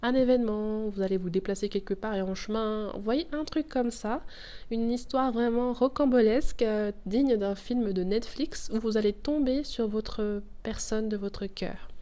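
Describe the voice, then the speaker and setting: A woman's voice: on the high side, moderate pace, mellow. A woman with a cold giving a casual tarot reading to camera, chatty and thinking aloud as she draws the cards. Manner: chatty, thinks aloud, laughs at her own fumbles. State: has a cold. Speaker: tarot reader.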